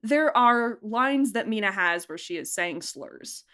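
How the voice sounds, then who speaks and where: A woman producer and director talking casually about her audio drama, chatty and quick to laugh, with lots of "like" fillers. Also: mid-range voice, expressive, a bit bright. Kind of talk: casual interview answers.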